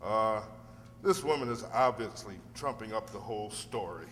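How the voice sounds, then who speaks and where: A man's voice: fairly low pitch, lively intonation, moderate pace, a bit bright. Man acting an earnest defense lawyer in a reverberant hall. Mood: concerned. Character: earnest, worried defense lawyer, male, reassuring his client. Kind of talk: radio drama acting. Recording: noisy recording with long hall reverb.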